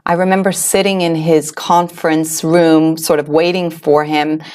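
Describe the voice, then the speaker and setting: A woman's voice: low-pitched, level tone, unhurried, a little mellow. A middle-aged woman from Switzerland telling her own story to an audience, earnest and reflective, with small fillers and restarts. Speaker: wellness author and host. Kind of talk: personal story told to an audience.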